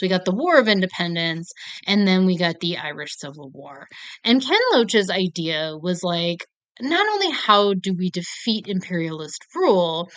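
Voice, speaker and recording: A woman's voice: mid-range voice, a bit bright, smooth, no room sound. American girl rambling about movies, lots of ums, dry close sound. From United States. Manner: chatty, many fillers, earnest and playful. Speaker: film reviewer. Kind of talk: casual film commentary.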